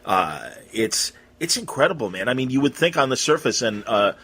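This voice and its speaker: A man's voice: mid-range voice, very animated, moderate pace, fairly bright tone, a little hiss or hum. Casual American man hosting an interview. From Illinois, United States. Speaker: podcast host and interviewer.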